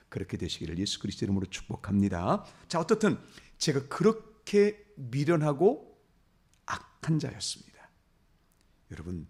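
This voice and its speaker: Husky, gravelly middle-aged male pastor with a slightly high voice, preaching a confessional sermon that builds to emphatic blessings. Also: darkish tone.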